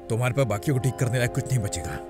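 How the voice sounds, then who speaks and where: A man's voice: mid-range voice, rapid-fire, over music, close to an everyday voice. A man voicing a grave, matter-of-fact middle-aged male doctor in a dramatic game dub, with a sober manner. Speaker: amateur fan dubber.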